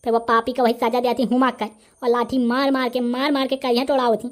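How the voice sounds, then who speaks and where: A short-tempered village bully, acted out by a man in a comedy cartoon, with a notably high voice. He speaks with a Bundelkhandi accent, boasting and ranting threats as he throws his weight around. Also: little pitch movement, fast. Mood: angry, threatening. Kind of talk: comic cartoon dialogue.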